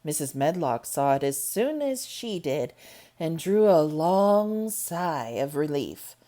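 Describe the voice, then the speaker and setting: A woman reading a classic novel aloud, slow and expressive. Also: deep voice. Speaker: storybook reader.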